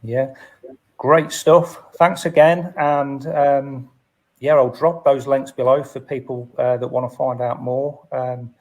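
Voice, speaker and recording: A man's voice: mid-range voice, fairly even intonation, slow, darkish tone. A British man hosting a casual live interview, speaking in a hesitant, self-effacing way and repeating words. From United Kingdom. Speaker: host of a live chat.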